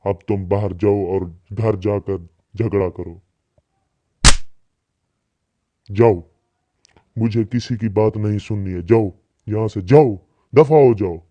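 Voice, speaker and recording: A man's voice: fairly low pitch, measured pace, mellow, close to an everyday voice. A man playing a paternal, instructing older doctor in a cartoon, on muffled audio. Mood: annoyed. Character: paternal older male doctor, advising, easily annoyed. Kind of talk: cartoon dialogue.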